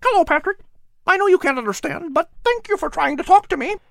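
A woman's voice: extremely high pitch, lively intonation, quick pace, creaky, no room sound, clean studio sound, pitched above the performer's own voice, heavily acted. A woman voicing a small, chirpy female chickadee in a storybook. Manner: bright and chirpy. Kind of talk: storybook reading.

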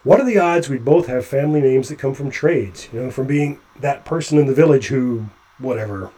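An actor playing a nervous, chatty male doctor climbing along a ship's hull, halting with stammering restarts. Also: medium pitch, mellow, lightly acted. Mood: anxious. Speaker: physician on a spacewalk. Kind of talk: audio drama acting.

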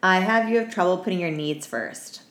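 Low-voiced tired woman podcaster in an echoey room. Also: normal speed, fairly bright tone. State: tired after staying up all night. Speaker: podcast co-host. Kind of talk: casual podcast chat. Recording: roomy echo, clear background noise.

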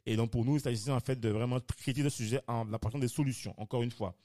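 Animated podcast host in his thirties. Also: mid-range voice, fast talker. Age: 30s. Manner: animated, repeats words, stumbling restarts. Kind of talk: casual discussion.

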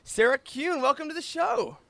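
A man's voice: very high voice, sing-song, normal speed, vocal fry, sharp tone, no room sound. A man hosting a podcast in a casual, upbeat interview style, chatty and effusive. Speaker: podcast host.

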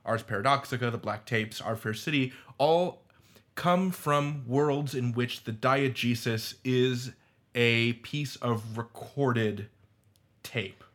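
A man's voice: medium pitch, takes it slowly, a bit bright. A man speaking as a roundtable panelist, discussing criticism in articulate, academic language. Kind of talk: discussion of audio fiction criticism.

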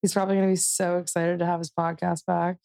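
Young woman, vocal fry, gravelly tone, rambling casual podcast guest. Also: on the low side, flat delivery, fast talker, mellow. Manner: rambling, heavy on fillers. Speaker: podcast guest, event production worker. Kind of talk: casual chat.

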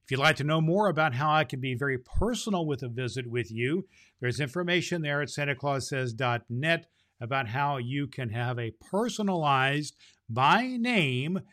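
Slow, jolly man reading as Santa. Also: on the high side. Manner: slow, gentle, jolly, stumbles over words. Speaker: Santa Claus storyteller host. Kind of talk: reading a children's storybook aloud.

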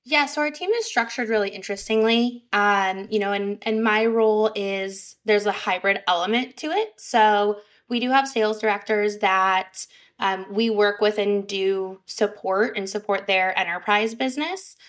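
A woman guest on a podcast, high energy and chatty, with a fairly high voice and lots of fillers. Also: normal speed, fairly bright tone. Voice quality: higher pitched voice. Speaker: sales representative guest. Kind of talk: casual podcast interview.

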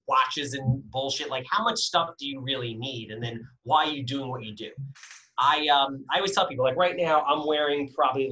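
A man's voice: medium pitch, sharp tone, rough recording. A man of forty, a guest in a casual interview, telling stories candidly and energetically with frequent fillers and the odd swear word. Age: 40s.

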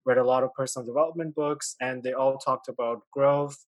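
A man's voice: mid-range voice, steady tone, a little fast, no room sound. Hesitant, friendly young man from Pakistan. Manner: friendly, hesitant, many fillers and restarts. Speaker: guest, author and podcast host. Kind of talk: casual interview chat.

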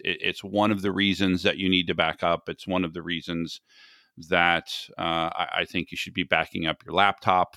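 A low-pitched American man hosts a podcast with a bright, forceful tone. He talks in a relaxed, chatty way and jokes at his own expense. The recording is dry and close, with no room sound. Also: no echo. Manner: chatty, self-deprecating, quick to laugh. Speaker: podcast host. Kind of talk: casual podcast chat. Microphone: sensitive podcast mic.

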